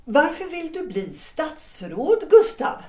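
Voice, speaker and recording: An actress playing a composed, resolute upper-class wife in a period audio drama, speaking deliberately with weighty pauses. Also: female voice, high voice, sing-song, dark tone. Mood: questioning, cool. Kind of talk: period stage-play audio drama acting. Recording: roomy echo.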